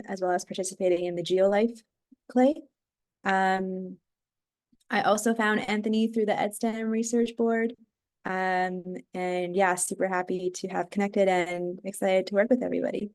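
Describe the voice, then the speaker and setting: A woman introducing herself casually as a team lead on a video call, relaxed and a little unsure. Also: medium pitch, unhurried, no room sound.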